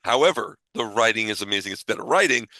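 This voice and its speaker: A man's voice: lowish voice, animated, fast talker, pushed and bright, dry recording, rough recording. A man co-hosting a casual podcast, giving his opinions emphatically and repeating phrases to make his point. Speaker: podcast co-host.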